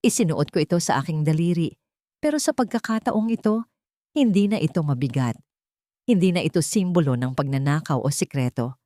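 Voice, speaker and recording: A low-voiced woman delivers scripted dramatic narration in an earnest, sentimental way. Her phrasing is formal, like a storyteller addressing devoted listeners. The sound is close and dry. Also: animated, a little fast, dark tone, no room sound. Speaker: storyteller and channel host.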